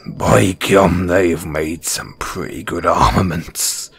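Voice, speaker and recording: Casting: male actor as kindly battle-scarred dwarf smith, low-voiced and unhurried, humble fantasy roleplay storyteller, crickets faintly behind. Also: steady tone, vocal fry, fairly bright tone, breathy edge, exaggerated voice. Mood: fond wonder. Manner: folksy, chuckling, hesitant storyteller. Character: kind, modest, battle-scarred dwarven blacksmith, hearty adventurer. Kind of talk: fantasy audio roleplay, spoken to a companion.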